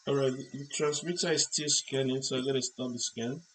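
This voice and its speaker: A man with a mid-range voice presents a hands-on technical tutorial, unscripted. He explains step by step in short, halting phrases. There is noticeable noise behind his voice. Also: mid-range voice, steady tone, a bit bright, poor audio. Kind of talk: hands-on electronics demonstration, unscripted. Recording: clear background noise.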